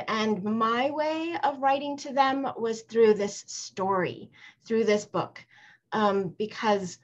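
A woman's voice: fairly high pitch, fairly bright tone. A middle-aged woman speaking reflectively and personally as a guest author on a video call. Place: video call from a room at home. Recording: slightly dull video call audio.

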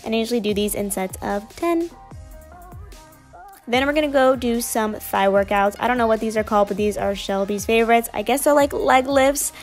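A woman's voice: fairly high pitch, normal speed. Young woman vlogger chatting thru her gym routine, easygoing, light music under it. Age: young adult. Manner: casual, chatty, upbeat explaining. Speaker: fitness vlogger. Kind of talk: voice-over narration of a workout.

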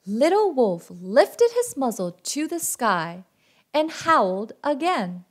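A woman reading a picture book aloud to children as host and narrator, expressive and deliberate in her delivery. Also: high-pitched.

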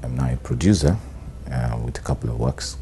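A middle-aged Nigerian man, an actor, talking in a sit-down interview, relaxed and hesitant, with many "um"s and "you know"s. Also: a bass voice, fairly quick, slight background noise. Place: bright studio room, indoors. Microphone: lapel.

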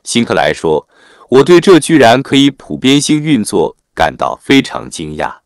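A man narrating a written article in a formal, measured read-aloud manner. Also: mid-range voice, sing-song, no room sound, studio quality.

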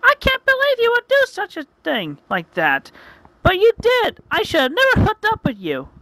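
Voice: sits high, sing-song, normal speed, fairly bright tone, dry recording, voice pushed up, exaggerated voice, low-quality recording. A storyteller voicing a proud, scorned warrior woman who is fierce and commanding in an adventure tale. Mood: hurt, outraged.

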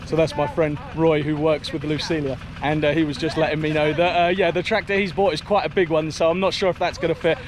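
A man with a somewhat high voice narrates his farm vlog outdoors. He talks in a loose, rambling way, stopping and restarting sentences. Faint outdoor noise sits behind him. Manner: rambling, restarts and repeats words. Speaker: vlogger and smallholder. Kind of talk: casual narration to camera.

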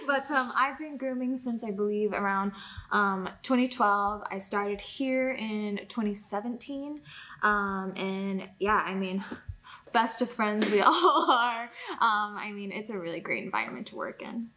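A woman's voice: mid-range voice, lively intonation, unhurried, bright, forceful tone, slight background noise. A woman dog groomer introducing herself in a salon, friendly and a bit hesitant.

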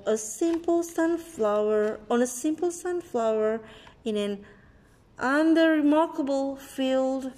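Slow-reading woman in an echoey room. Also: high-pitched, darkish tone. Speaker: read-aloud storyteller. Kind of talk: expressive picture-book read-aloud to a child. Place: indoor room with wooden floor. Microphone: handheld phone near the book. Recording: echoey room, handheld phone recording.

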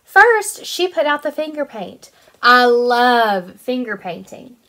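A woman in her twenties, a kindergarten teacher reading a picture book aloud to young children in an animated, sing-song way. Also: fairly high pitch, slow pace. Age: 20s.

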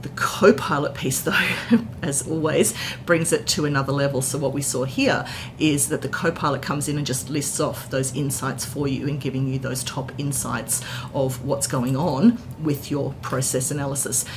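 A woman in her forties giving an enthusiastic, informal explainer recap to camera as a tech presenter, indoors. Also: moderate pace. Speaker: tech content creator, presenter. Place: indoor hotel-style room. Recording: clear background noise with low rumble.

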